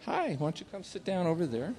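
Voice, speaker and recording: Higher-voiced man hosting a live show. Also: very animated, fast, darkish tone. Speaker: show host. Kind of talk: casual live stage hosting. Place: theater stage before an audience. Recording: live stage show with crowd noise.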